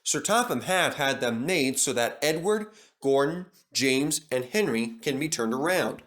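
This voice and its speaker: Male storybook narrator: animated, bright delivery with dramatic, expressive phrasing. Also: medium pitch, measured pace. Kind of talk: scripted children's story narration.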